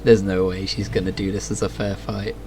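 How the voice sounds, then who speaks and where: A man's voice: deep voice, level tone, brisk, darkish tone, a little hiss or hum. A man doing casual, flippant, sweary live commentary as a game streamer. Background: game music.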